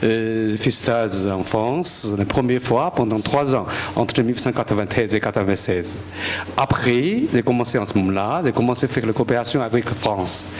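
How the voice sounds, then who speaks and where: A man from China with a Chinese accent, a guest surgeon speaking halting, simple French. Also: mid-range voice. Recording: noisy room.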